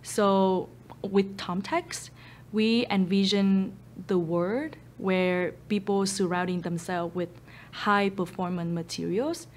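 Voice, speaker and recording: A woman in her thirties from Vietnam with a Vietnamese accent, speaking English as a second language in an earnest, steady manner with frequent ums. She is a startup founder seated for an interview in a studio, recorded on a boom mic. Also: slow pace, slight background noise. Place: photo studio with a blue backdrop, indoors. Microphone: boom mic overhead.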